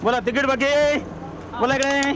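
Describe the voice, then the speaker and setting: An actor playing a brisk, teasing bus conductor who sells tickets. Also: male voice, very high-pitched, level tone, noisy background.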